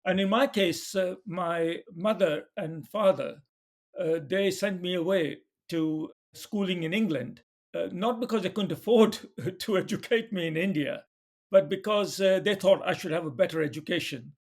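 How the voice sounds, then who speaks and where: A man with a high-pitched voice hosts a podcast interview. He asks his questions gently and pauses often on small hesitations. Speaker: podcast host.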